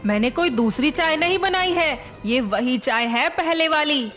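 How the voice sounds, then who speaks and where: A woman's voice: high voice, lively intonation, a little slow, a bit bright, faint music behind. A woman voicing a defensive young wife in a dramatised story, aggrieved and protesting. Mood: indignant. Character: put-upon, defensive young Indian wife. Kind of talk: dramatised Hindi moral story acting.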